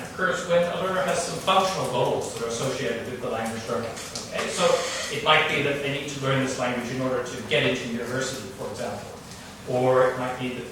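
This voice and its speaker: This middle-aged man from Canada lectures slowly and haltingly, filling gaps with ums and restarting his sentences. He sounds far from the recorder in a large, very echoey hall, with clear background noise. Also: medium pitch. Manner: halting, many fillers and restarts. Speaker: guest lecturer. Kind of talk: academic lecture.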